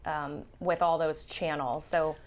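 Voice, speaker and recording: A woman who studies the brain, calmly explaining her research to an interviewer. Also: sits low, unhurried. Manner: calm, patient, explanatory.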